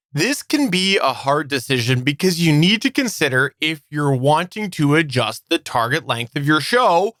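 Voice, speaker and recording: A man with a bright, fairly high voice co-hosts a podcast. His intonation moves around a lot as he explains semi-scripted advice. The sound is dry and free of room echo. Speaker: podcast co-host.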